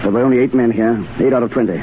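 An actor in 1930s radio drama playing a commanding Foreign Legion sergeant with a firm, controlled, clipped manner. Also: male voice, medium pitch, steady tone, rapid-fire, natural voice. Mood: weary, calm. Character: steady, commanding legionnaire sergeant, a gentleman soldier. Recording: noisy, tinny 1930s radio broadcast.

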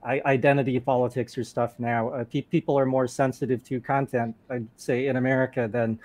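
A man's voice: mid-range voice, little pitch movement, a little fast, darkish tone. A man who grew up in Thailand, talking casually as a panel guest, often restarting his words. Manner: stammering restarts.

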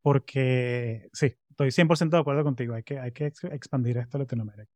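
A man's voice: mid-range voice, steady tone, fast, mellow, dry recording. A young man, hosting a podcast interview in a loose, friendly manner with local slang. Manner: friendly and informal, uses Venezuelan slang. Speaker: podcast host. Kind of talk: casual interview chat.

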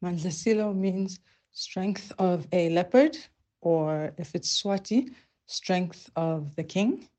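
A woman answering an interview question in a slow, measured way. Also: on the low side, dark tone. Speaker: guest.

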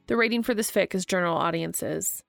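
A woman's voice: on the low side, a little fast, creaky, no echo. A woman hosting and reading stories aloud in scripted storybook narration, with music behind her. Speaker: podcast host and fan fiction reader.

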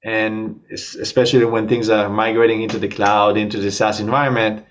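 A man's voice: lowish voice, level tone, brisk, dull audio, a little hiss or hum. A man with an Israeli accent, a startup co-founder, talking casually in an interview over a video call, with frequent fillers.

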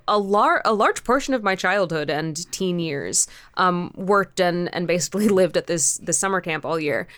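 Young female interviewee, clear voice, casual and animated, halting informal phrasing, close dry recording. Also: fairly low pitch, no echo. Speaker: podcast creator, interview guest.

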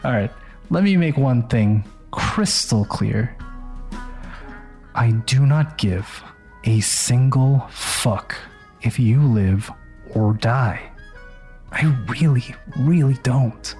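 A man's voice: mid-range voice, animated, darkish tone. A smug heir in a crime-family audio drama, cold and condescending. He takes his time over every phrase, drawing out the contempt with mockery, as music plays underneath. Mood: menacing disdain. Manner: mocking, drawn-out, contemptuous.

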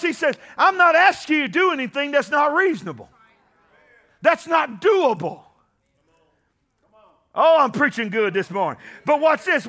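Male pastor with a drawl, very high and bright, preaching with rising shouts. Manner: impassioned preaching, shouting at peaks. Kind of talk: sermon.

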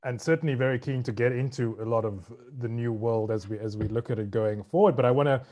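Man hosting an interview, measured delivery. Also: mid-range voice, dark tone. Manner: measured, reads a prepared introduction. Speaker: interviewer, host. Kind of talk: formal introduction, then interview questions.

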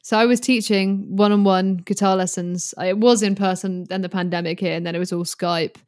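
A woman's voice: mid-range voice, fairly even intonation, fairly quick, a little mellow, dry recording, studio quality. A British woman in her early twenties chatting casually as a guest, candid, informal and rambling. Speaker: podcast guest, guitarist and online creator. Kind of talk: casual chat.

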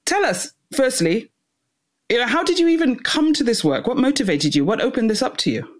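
A woman's voice: on the high side, sing-song, fairly quick. A woman working as a podcast host, introducing and questioning a guest.

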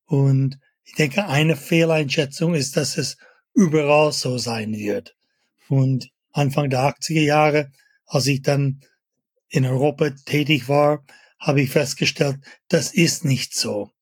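An older man from Canada, a fitness industry veteran, talking as a guest in a casual interview, halting and pausing with many fillers. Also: mid-range voice, fairly even intonation, slightly rough, no room sound, studio quality.

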